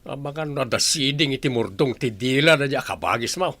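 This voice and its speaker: Crabby snob, Ilocano comedy radio play, medium-pitched and cutting; male actor. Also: animated, a little fast, fairly bright tone, no echo. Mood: irritated scolding. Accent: Filipino, Ilocano. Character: crabby, snobbish man scolding a gossip. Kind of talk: comedy radio drama acting.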